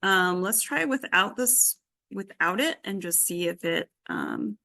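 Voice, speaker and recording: A woman's voice: mid-range voice, expressive, measured pace, creaky, sharp tone. A woman giving an informal live coding demonstration as instructor, tentatively thinking aloud as she works. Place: indoor group workshop session.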